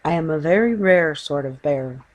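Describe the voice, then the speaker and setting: A woman's voice: low voice, lively intonation, moderate pace, lo-fi sound, slight background noise. Woman voicing a small, polite storybook bear. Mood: self-important. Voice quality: small, clear voice. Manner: polite, formal, precise. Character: small, polite young male bear, earnest and self-important. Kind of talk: children's storybook character voice.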